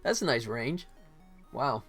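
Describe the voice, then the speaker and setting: A man's voice: medium pitch, expressive, takes it slowly, a bit bright. Man chatting casually on a livestream, music behind. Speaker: livestream host, game animator. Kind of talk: casual livestream chat while working, thinking aloud.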